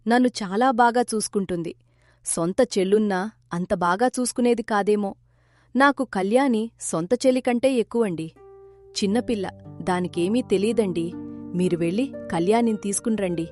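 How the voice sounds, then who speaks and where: Cartoon wife and elder sister: female actor, gentle, earnest, pleading, mid pitch, dry recording with music underneath. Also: normal speed, no echo, natural voice. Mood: concerned, pleading. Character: gentle, self-sacrificing childless village wife, elder-sister figure. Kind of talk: children's moral cartoon voice acting.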